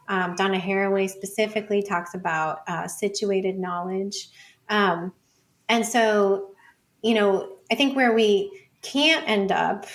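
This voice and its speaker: A woman with a mid-range voice speaks as a guest in a conversational interview. She works through her ideas as she goes, in an informal and discursive style with halting phrasing. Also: mid-range voice. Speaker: podcast guest, data and feminism scholar. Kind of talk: conversational interview, thinking aloud.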